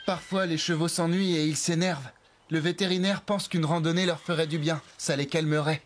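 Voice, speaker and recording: An actor playing a calm, helpful young stable hand in a dubbed cartoon, reassuring and practical. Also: male voice, fairly high pitch, fairly quick, a little hiss or hum, natural voice. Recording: music bed behind the voice.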